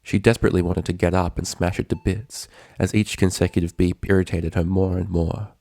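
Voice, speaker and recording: A man's voice: deep voice, little pitch movement, a little fast, dark tone, no echo. A man reading a story aloud as its narrator, in scripted prose narration. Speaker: narrator, fan fiction reader.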